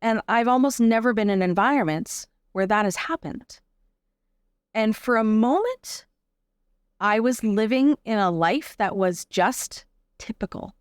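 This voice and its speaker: A woman speaking as an interview guest, giving a personal account in a reflective, measured and explanatory conversational way. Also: fairly high pitch, clean tone, dry recording, studio quality. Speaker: podcast guest, actor and advocate.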